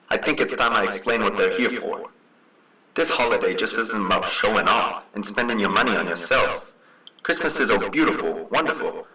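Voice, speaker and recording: A man's voice: fairly low pitch, pushed and bright, reverberant. A man playing an earnest, floundering young speechmaker through a megaphone in a radio drama, muffled and distant. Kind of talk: school radio drama acting.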